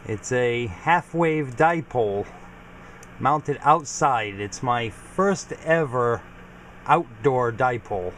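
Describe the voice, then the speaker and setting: A man's voice: mid-range voice, animated, slow, a bit bright, slight background noise. A man giving a casual, informal equipment walkthrough as a hobbyist video host, explaining things plainly. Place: home garage.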